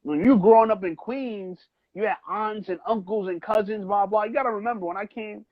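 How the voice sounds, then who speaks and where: Animated podcast guy pushing his point, muffled livestream audio. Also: high voice, brisk. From United States, child of Trinidadian parents. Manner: animated, repeats "you know what I'm saying". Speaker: podcast co-host. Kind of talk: heated casual debate.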